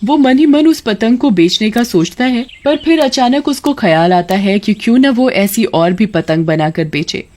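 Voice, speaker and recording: A woman's voice: a little high, animated, moderate pace, hardly any pauses, dark tone. A woman narrating a scripted moral tale as a storyteller.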